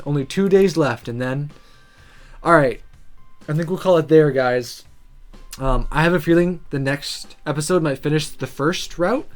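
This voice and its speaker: Expressive woman narrating a visual novel. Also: sits low. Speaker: gaming video host reading a visual novel aloud. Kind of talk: expressive storybook-like narration and casual commentary. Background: faint music.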